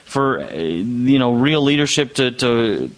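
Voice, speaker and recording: A man's voice: mid-range voice, very animated, measured pace. A man hosting an interview, asking questions in an upbeat, conversational way. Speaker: show host.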